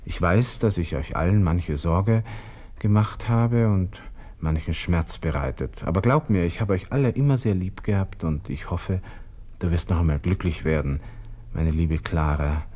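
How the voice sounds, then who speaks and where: An actor playing a young, vain, agitated army lieutenant with a Viennese accent, muttering to himself in a radio drama monologue. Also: male voice, low voice, moderate pace, warm tone, close to an everyday voice. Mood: sorrowful, tender. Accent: Austrian, Viennese. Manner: muttering to himself, shifting abruptly from musing to exclaiming.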